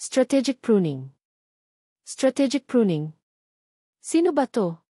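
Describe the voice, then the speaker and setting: A woman's voice: a little high, sing-song, slow, mellow, slightly rough, dry recording, voice pushed up, full character voice. A territorial, suspicious lady of the house, as a woman narrator puts her on in a romance audio story. She stresses her words with haughty sarcasm and indignation. The recording is dry and close. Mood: sarcastic, disbelieving. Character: haughty, territorial older single woman, suspicious garden owner.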